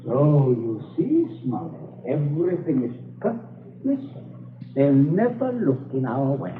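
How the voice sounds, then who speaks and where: Brief: a genteel, fussy gentleman in a 1940s radio play, bright and lively in pitch, heard over a tinny broadcast. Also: slow pace. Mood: genial. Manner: fussy, chattering, nervous stammer. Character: genteel English householder, fussy and falsely cheerful. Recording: tinny old radio broadcast, faint hiss.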